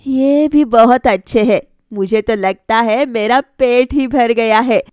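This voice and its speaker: A woman's voice: fairly high pitch, slow pace, a little mellow, smooth, no room sound, clean studio sound. A woman voicing an older, plain-spoken but approving mother-in-law in a family cartoon. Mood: content, noncommittal.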